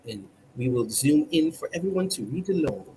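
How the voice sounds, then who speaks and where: A man's voice: fairly high pitch, sing-song, normal speed, warm tone, slight background noise, low-quality recording. A man hosting a comedic mock newscast in a stilted, formal newsreader manner, drawing out his words. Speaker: mock news presenter on a livestream.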